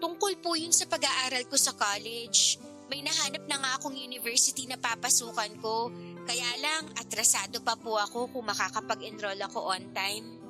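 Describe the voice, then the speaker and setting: A woman plays a young niece in a radio drama, her voice high and girlish. She is hesitant, deferential and worried, pleading softly with an elder over prominent background music. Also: moderate pace, natural voice. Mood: hesitant, ashamed. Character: apologetic young niece, fresh senior high graduate, worried and respectful.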